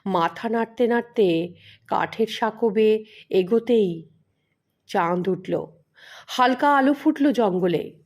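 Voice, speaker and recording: A woman's voice: medium pitch, takes it slowly, long pauses, darkish tone. A woman narrating a scripted mystery story as an audio storyteller.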